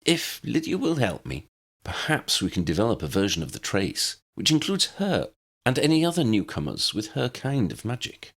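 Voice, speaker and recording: A man voicing a measured, studious adult male wizard in an audiobook, with considered and articulate phrasing. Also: lowish voice, huge pitch swings, husky, heavily acted. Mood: thoughtful.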